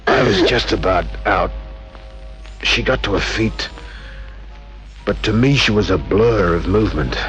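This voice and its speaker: Slow-talking tough radio detective. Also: male voice, lowish voice, a bit bright, poor audio. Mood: groggy, pained. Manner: terse, clipped hard-boiled phrasing. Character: tough, wry hard-boiled male detective, weary and laconic. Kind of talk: old-time radio crime drama, first-person narration and dialogue. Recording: old radio recording, faint music behind.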